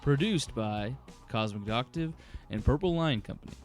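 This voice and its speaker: A man's voice: medium pitch, animated, moderate pace. A man announcing scripted audio drama credits. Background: plucked guitar music.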